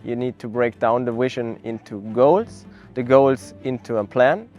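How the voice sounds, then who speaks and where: Earnest young man, soft music. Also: mid-range voice. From Austria. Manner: earnest and reflective, with frequent filler pauses and restarts. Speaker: network marketing ambassador. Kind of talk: promotional testimonial interview.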